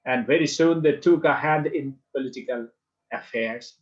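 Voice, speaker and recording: A man's voice: mid-range voice, moderate pace, highs cut off. A man with a Bangladeshi English accent giving a university literature lecture, emphatic, repeating key phrases and checking that students understand. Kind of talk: classroom lecture.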